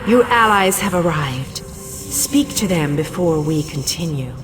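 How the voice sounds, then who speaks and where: Slow, imperious goddess. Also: female voice, low voice, lively intonation. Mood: haughty. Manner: haughty, measured, commanding. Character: imperious ancient Daedric goddess of light, coldly regal. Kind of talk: dramatic fantasy game dialogue. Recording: studio voice under prominent music.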